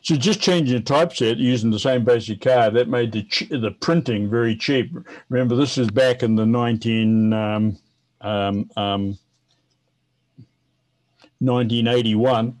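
A man in his seventies with a New Zealand accent, chatting and explaining casually in a halting way with repeated words. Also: mid-range voice. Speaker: guest, veteran amateur radio operator. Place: home office, indoors.

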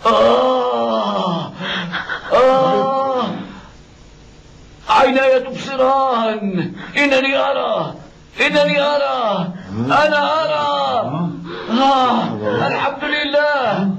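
An actor playing a humble, pious, blind old father in a dramatic cartoon dub, with groans and drawn-out exclamations. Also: very high-pitched, creaky, a bit bright, heavily acted. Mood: astonished joy. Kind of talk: animated drama dub acting. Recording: dull lo-fi cartoon soundtrack.

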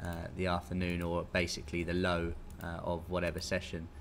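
Low-voiced British man, casual market commentary. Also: completely flat delivery, a little fast. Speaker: market technical analyst. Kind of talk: live market briefing, informal commentary. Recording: clear background noise.

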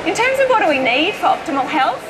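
A woman's voice: very high voice, very animated, fast talker, noisy background. An Australian woman, around forty, giving an informal seminar talk in an emphatic, conversational way. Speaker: health educator giving a public talk. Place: indoor presentation stage at an expo.

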